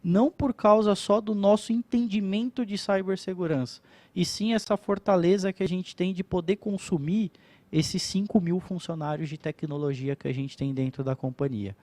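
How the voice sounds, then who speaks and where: A man's voice: high-pitched, fairly even intonation, moderate pace, a little mellow, dry recording. A man presenting his company's cybersecurity services at an event and addressing the audience in a casual, explanatory way. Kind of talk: corporate presentation. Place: event stage at company office.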